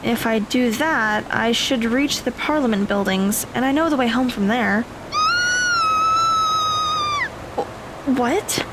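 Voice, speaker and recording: A woman's voice: fairly high pitch, unhurried, lightly acted. A woman playing an anxious, self-questioning teenage girl who frets and thinks aloud to herself in an audio drama. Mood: frustrated, puzzled. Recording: stormy ambience noise behind voice.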